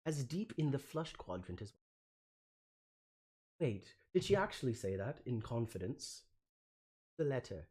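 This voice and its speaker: A man's voice: mid-range voice, very animated, slow pace, long pauses, a little mellow, husky, heavily acted. A man voicing a petulant, haughty teenage alien troll prince in an amateur comic reading, pompous and indignant in archaic, sweary speech. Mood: suspicious, indignant.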